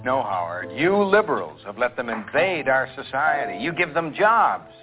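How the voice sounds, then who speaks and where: A scornful, stubborn conservative man, played by an actor argues in a film drama with lively, jumping intonation. The recording is phone-like, with music clearly behind. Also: medium pitch, moderate pace, natural voice. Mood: scornful, indignant. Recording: phone-like film clip under loud music.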